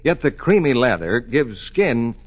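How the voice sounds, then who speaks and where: An American man reads scripted radio ads as a 1940s announcer. His voice is husky and very varied in pitch, with polished emphasis. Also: very animated, unhurried, lo-fi sound.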